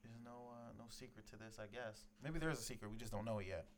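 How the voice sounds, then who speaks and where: A man's voice: medium pitch, fairly even intonation. Easygoing New man, faint hiss. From Jamaica, grew up in Queens. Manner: easygoing, halting, joking. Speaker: podcast co-host. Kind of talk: casual chat. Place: apartment in the Bronx. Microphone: handheld recorder mics.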